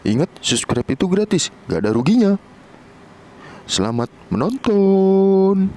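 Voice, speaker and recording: Man, lively channel intro, raised pitch, animated. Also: unhurried. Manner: upbeat, lively promo patter. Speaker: host.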